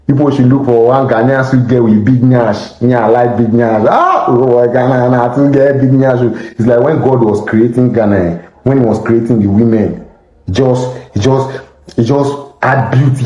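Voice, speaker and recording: Animated Nigerian man, roomy muffled sound. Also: mid-range voice. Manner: animated, Pidgin-laced banter. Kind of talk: casual monologue to camera.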